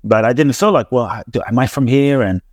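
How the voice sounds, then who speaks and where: A man's voice: medium pitch, a little fast, darkish tone, dry recording. A man who grew up in London, a teacher talking as an interview guest in an earnest, reflective way with many restarts and repeated words. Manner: earnest, repetitive, frequent restarts and stammered repeats. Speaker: transformational teacher, podcast guest.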